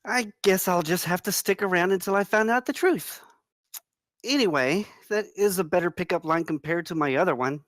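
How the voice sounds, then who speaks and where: A woman's voice: lowish voice, moderate pace, no echo, studio quality, close to an everyday voice. A woman playing a flirty, confident woman in her twenties at a screenplay table read. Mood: playful, flirty.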